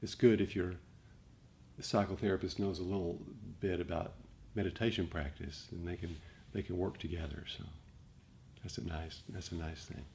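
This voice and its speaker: A man giving an informal dharma talk to a group as a meditation teacher, speaking in a halting, reflective way and often rephrasing. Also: low voice, fairly even intonation, a little mellow. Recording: noticeable background noise.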